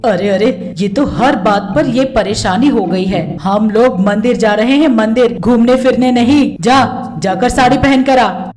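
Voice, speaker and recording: Woman acting a scolding village mother-in-law, raised pitch. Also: a little slow, natural voice. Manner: sharp, scolding, sarcastic rhetorical questions.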